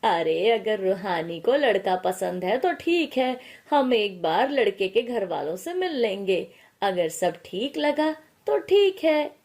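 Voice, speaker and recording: A woman voicing an easygoing middle-aged father in a story, measured, agreeable and practical. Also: mid-range voice, very animated, darkish tone. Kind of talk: acted storytelling dialogue.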